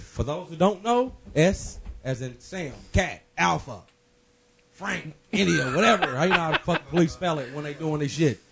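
A man's voice: on the high side, gravelly, fairly bright tone, slightly rough. A man introducing himself in a brash, profane, joking way.

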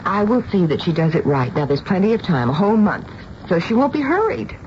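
A kindly, resolute middle-aged mother from a radio drama, acted by a woman. She speaks earnestly and soothingly at an average pitch. The recording is dull and old, with noticeable noise behind her. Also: big pitch swings, brisk, close to an everyday voice. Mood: earnest, placating. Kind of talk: radio drama acting and first-person narration.

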